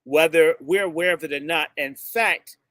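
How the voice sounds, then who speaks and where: A man's voice: on the high side, moderate pace, a bit bright, no room sound, studio quality. A man from the United States giving an impassioned, blunt speech to a live audience, stretching out words for emphasis and jumping from topic to topic. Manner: fiery and emphatic, drawing out key words; blunt and insulting, jumps between topics. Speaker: speaker addressing a gathering.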